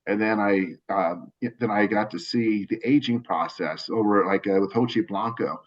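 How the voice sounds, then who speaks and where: A man with a lowish voice tells his story in a loose, rambling way, full of restarts and fillers. He is on a video call, and the sound is dull and lo-fi. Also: normal speed. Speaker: cigar brand owner. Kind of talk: casual video call interview.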